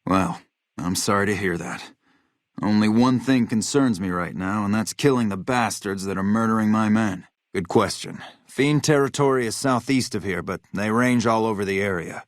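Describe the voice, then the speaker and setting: An actor playing a hard-bitten, vengeful military officer in a video game, blunt, profane and matter-of-fact. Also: male voice, on the low side, normal speed, dry recording, clean studio sound, close to an everyday voice. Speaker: game character, army officer.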